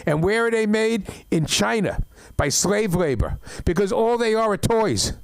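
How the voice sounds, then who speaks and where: A middle-aged man doing an open mic stand-up set, rambling through stories with stammered restarts and deadpan complaints. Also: sits high, unhurried, vocal fry, no echo. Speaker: stand-up comedian. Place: comedy club open mic.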